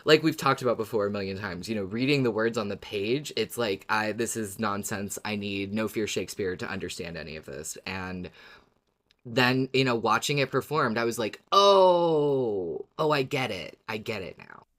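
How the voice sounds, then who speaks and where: A woman's voice: a bass voice, normal speed. Woman podcast host, casual, laughs a lot, rambles a bit. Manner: relaxed, laughs often, rambling restarts.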